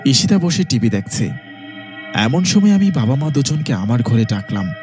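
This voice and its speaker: Man voicing an earnest young son, very animated. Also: medium pitch, normal speed, soft background music, lightly acted. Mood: plain, storytelling. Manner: earnest, pleading. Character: anxious young village son narrating his sister's haunting. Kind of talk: horror cartoon dialogue and narration.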